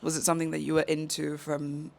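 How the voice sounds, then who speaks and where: A woman hosting a podcast, reading a measured scripted introduction and then interviewing a guest in a studio. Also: sits low, monotone, creaky. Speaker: podcast host. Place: artist's studio. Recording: music playing behind the voice.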